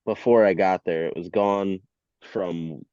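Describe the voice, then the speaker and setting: Animated storytelling man, dull lo-fi sound. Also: sits low, a little slow, no echo. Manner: animated storyteller who acts out quotes, swears and laughs often. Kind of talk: casual podcast chat.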